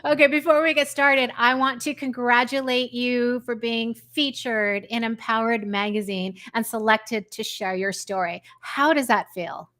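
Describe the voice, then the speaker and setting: A woman hosting an interview, chatty and eager, firing off quick questions to her guest. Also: fairly high pitch, fairly even intonation, a bit bright. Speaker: host. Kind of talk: casual interview.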